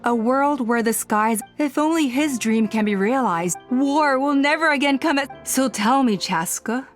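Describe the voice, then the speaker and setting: High voiced villain lady, scheming + smug talker, video game style, bit of music under. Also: a little slow. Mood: smug persuasion. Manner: condescending, persuasive, theatrical.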